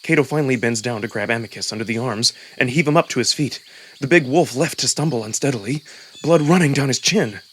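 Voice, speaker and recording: A man's voice: mid-range voice, fast, fairly bright tone, a little hiss or hum. A man narrating a visual novel in the first person, theatrical and immersed in the story. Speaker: narrator and voice performer. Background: music under the voice.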